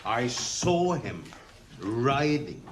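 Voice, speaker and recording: An actor playing an earnest, awestruck follower at a drama read-through, halting and emphatic, word by word. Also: male voice, medium pitch, big pitch swings. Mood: earnest insistence. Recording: loud background noise, table read.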